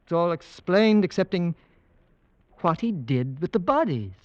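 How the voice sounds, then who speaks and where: An actor playing a concerned, reasoning gentleman in a 1940s radio drama, articulate and emphatic. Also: sits high, sing-song, unhurried, no room sound. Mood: thoughtful. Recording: dull, dry old radio recording.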